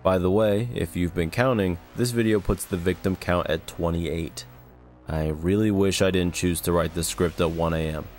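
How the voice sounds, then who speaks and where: A man narrating a scripted video essay in a measured way with wry asides, as the host of an analysis channel. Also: sits low, fairly even intonation, a little mellow, soft background music.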